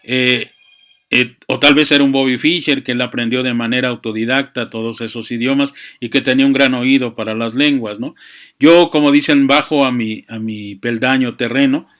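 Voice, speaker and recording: A man giving a reflective, unscripted monologue, often halting to search for words and adding verbal tags. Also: mid-range voice, steady tone, fairly bright tone. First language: Spanish. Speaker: speaker on chess and literature. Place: small room with books.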